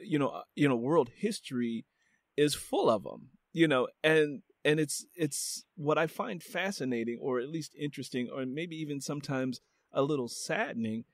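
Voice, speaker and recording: A man's voice: a little high, no room sound, studio quality. A man hosting a podcast interview, musing reflectively and leaning on "you know" as he talks. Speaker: podcast host. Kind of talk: casual interview talk.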